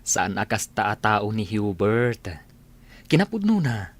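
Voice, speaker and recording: A man playing a calm, discreet young gentleman in an Ilocano radio drama, quiet and matter-of-fact. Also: on the low side, takes it slowly, no room sound, full character voice. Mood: calm confiding.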